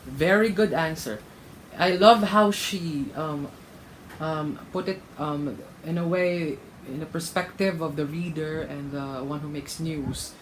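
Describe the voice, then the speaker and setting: A man's voice: a little high, fairly even intonation, measured pace. Guy casually reacting and rating answers, chatty, laughs a lot, bit of hiss behind. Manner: chatty, laughs mid-sentence, frank critique. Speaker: reaction vlogger. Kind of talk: casual commentary, rating contestants.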